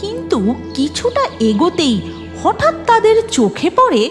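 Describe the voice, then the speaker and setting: A woman's voice: very high-pitched, very animated, a little slow, music in the background. A woman narrating a scripted horror story for a cartoon in a dramatic storytelling style.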